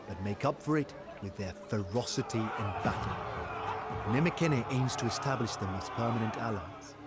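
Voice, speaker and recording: An actor playing a weary, devoted military commander in a video game, narrating war in a grave, measured, dramatic first-person style over music. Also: male voice, medium pitch, close to an everyday voice. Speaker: narrator of campaign cutscenes. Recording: studio voice over background music.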